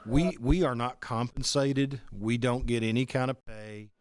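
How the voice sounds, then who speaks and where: A man's voice: medium pitch, steady tone, measured pace. A man speaking conversationally in a documentary interview as a member of a volunteer theatre troupe.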